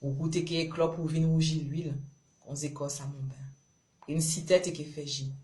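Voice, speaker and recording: A woman's voice: low-pitched, fairly even intonation, moderate pace, mellow. A woman from Guadeloupe with a Creole accent, performing spoken-word love poetry in short, rhythmic, incantatory phrases. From Marie-Galante, Guadeloupe. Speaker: poet.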